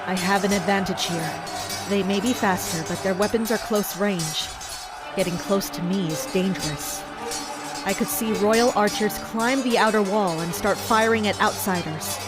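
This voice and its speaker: A woman's voice: medium pitch, normal speed, close to an everyday voice. A woman playing a tense, commanding castle fighter in a first-person dramatic narration. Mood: tense, watchful. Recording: music behind the voice. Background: music.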